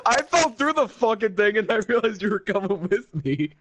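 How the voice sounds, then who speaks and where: A man's voice: high voice, normal speed, background noise. A young man chatting over voice chat while playing a shooter game, laughing a lot and swearing freely. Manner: laughing, profane, excitable. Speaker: gamer on voice chat. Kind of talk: casual gaming banter.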